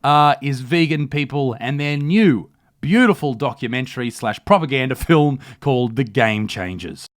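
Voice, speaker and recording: A man's voice: medium pitch, expressive, normal speed. An Australian man hosting a comedic news rant, blunt, mocking and full of swearing. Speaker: comedy news commentary host. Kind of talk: comedic rant to camera, mock news delivery.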